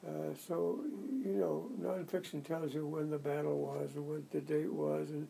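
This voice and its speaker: An older man with a deep, heavily gravelly voice, telling his story in a halting, rambling way, full of restarts and "you see." His tone is dark and mellow, with faint background hiss around him. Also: level tone, low-quality recording. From United States. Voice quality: low voice. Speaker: interviewee. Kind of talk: oral history interview.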